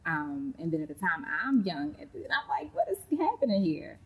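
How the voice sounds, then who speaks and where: A woman, a school counselor chatting as an interview guest, warm, with many ums. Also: deep voice, sing-song, normal speed, slightly rough, poor audio. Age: late 30s. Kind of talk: casual interview talk. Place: large indoor sports facility studio.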